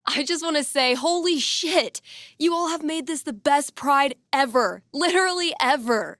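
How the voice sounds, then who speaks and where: A woman's voice: high voice, dry recording, clean studio sound, heavily acted. A woman playing a snarky, easygoing young record-store geek in a video game, talking in casual, slangy, sardonic lines. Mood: excited. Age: young adult.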